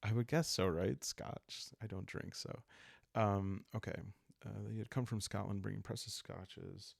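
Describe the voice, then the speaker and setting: A man speaking softly and calmly in ASMR style, improvising a story aloud as he hosts a solo roleplaying game, thinking out loud with long pauses. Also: fairly low pitch, gravelly, no room sound, clean studio sound. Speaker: host playing a solo journaling roleplaying game.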